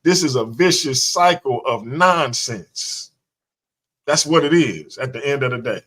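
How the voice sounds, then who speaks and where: A man's voice: medium pitch, lively intonation, a little slow, fairly bright tone. Forty-seven-year-old man musing in slang. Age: 40s. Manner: reflective, talks things through, street slang. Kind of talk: casual chat. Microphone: live stream mic.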